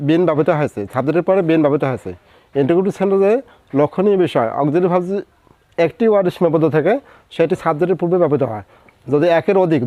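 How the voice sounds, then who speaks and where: A man with a Bangladeshi accent narrating a scripted grammar lesson as a teacher, methodical, slowing down to spell out English example words. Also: a little high, no room sound, lo-fi sound.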